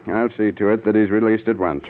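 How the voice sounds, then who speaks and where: Man as a low-voiced, reassuring radio-drama doctor. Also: fairly even intonation, quick pace, natural voice. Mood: soothing. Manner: reassuring, authoritative. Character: calm, soothing male hospital doctor. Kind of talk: 1940s radio acting. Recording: narrow, noisy 1940s radio recording.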